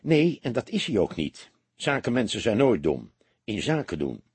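A man voicing a calm, weary, shrewd middle-aged police detective in an audiobook, quiet and measured. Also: fairly low pitch, slightly rough, dry recording, exaggerated voice. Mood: wry, confident. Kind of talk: audiobook character dialogue.

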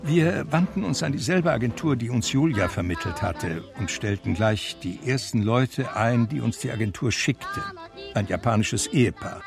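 An actor playing a reflective man who tells his life story in measured literary radio drama narration. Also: medium pitch, animated, creaky. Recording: music under the voice. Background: music.

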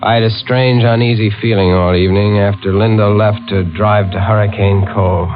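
A man's voice: fairly low pitch, steady tone, moderate pace, soft background music, lightly acted. A man playing an anxious, brooding young man who narrates with dread in a 1940s radio thriller. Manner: tense, brooding, dramatic first-person narration. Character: anxious young man haunted by dread for his twin sister. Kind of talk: 1940s radio drama acting. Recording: muffled vintage 1940s radio broadcast.

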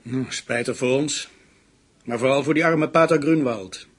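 An actor playing a dutiful, earnest police assistant in a radio drama, precise in his reporting, with a husky voice. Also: mid-range voice, unhurried.

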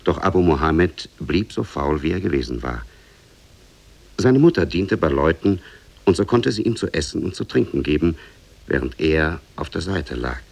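Very deep, creaky fairy-tale storyteller. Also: male voice, very animated, darkish tone, full character voice. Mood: measured storytelling. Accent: standard German from Germany. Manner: measured, literary fairy-tale telling. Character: storyteller of an Arabian Nights tale. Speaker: narrator. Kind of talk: 1950s radio drama storytelling.

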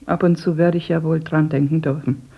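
A bitter, gravelly old miller's wife. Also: female voice, sits low, steady tone, a little fast, dull audio, heavily acted. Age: older. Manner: reproachful, bitter.

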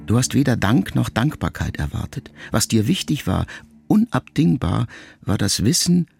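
An actor playing a pensive, melancholy grown son in a radio drama monologue, speaking to his mother in a gravelly, measured, intimate way. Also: mid-range voice, very animated, darkish tone, soft background music. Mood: quiet reproach. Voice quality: gravelly, creaky.

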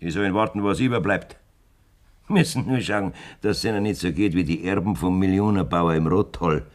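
An actor playing a blunt, scheming middle-aged farmer with a Bavarian accent in a German radio play. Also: male voice, deep voice, fairly quick, close to an everyday voice. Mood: calculating, boastful. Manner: blunt, domineering. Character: hard, scheming farmer demanding a divorce from his wife.

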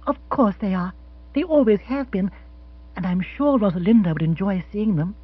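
A brisk, proper aristocratic wife, played by a male audiobook reader. The husky voice is pushed high and talks crisply and matter-of-factly. The sound is dry and a bit dull. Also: dry recording. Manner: crisp, matter-of-fact storytelling. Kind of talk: audiobook character voice. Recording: dull, dry, slightly lo-fi recording.

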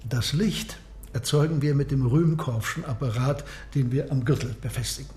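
An actor playing a reserved, proud submarine captain and inventor in a radio play, explaining in a measured way. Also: male voice, medium pitch, a little mellow, lightly acted. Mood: proud, composed. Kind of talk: radio drama dialogue. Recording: roomy, echoing interior sound.

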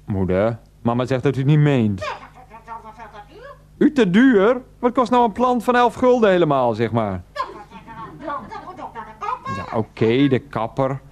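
An actor playing an exasperated middle-aged husband and son in a radio play, flustered, repetitive and placating. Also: male voice, mid-range voice, huge pitch swings, measured pace, pauses often, a crowd in the background. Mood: pleading.

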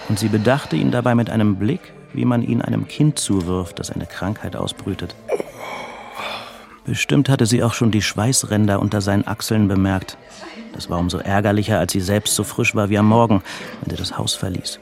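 A man's voice: lowish voice, vocal fry, a little mellow. A man narrating a radio play in a literary, restrained and introspective way, with music behind him. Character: restrained, introspective male narrator of a crime novel.

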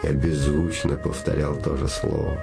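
A man's voice: a bass voice, little pitch movement, normal speed, creaky, exaggerated voice. An actor playing a man's scheming living shadow that poses as a devoted friend, insinuating, solemn and emphatic, in a theatrical fairy-tale play. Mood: smooth devotion. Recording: music behind the voice.

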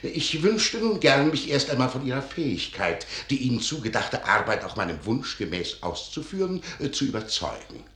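An actor playing an eccentric, courteous elder archivist in a radio play, formal and theatrical with a condescending edge. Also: male voice, medium pitch, very animated, normal speed, hardly any pauses, a bit bright, roomy sound. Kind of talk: German radio play acting of a literary classic.

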